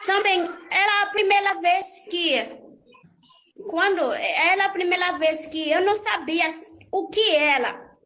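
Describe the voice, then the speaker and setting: Young Haitian woman, Haitian accent, high and unhurried; halting storyteller who repeats phrases. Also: bright, forceful tone. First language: Haitian Creole. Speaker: church worship minister. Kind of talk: personal life story.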